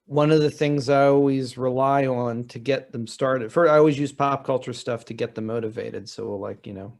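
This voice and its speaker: Man explaining at an even mid pitch. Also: gravelly. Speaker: panelist, college writing teacher. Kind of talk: conversational panel discussion.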